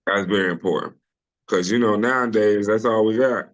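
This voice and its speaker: A middle-aged man with a deep voice, a comedian guest talking casually and loosely in an interview over a video call, full of "you know" and restarts. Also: moderate pace, muffled recording. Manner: relaxed storyteller, many restarts and "you know".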